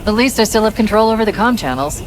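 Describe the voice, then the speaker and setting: A woman playing a sharp-witted, loyal military AI in a video game, brisk and wry. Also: mid-range voice, lively intonation, noisy background, close to an everyday voice. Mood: tense, analytical. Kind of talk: video game cutscene acting.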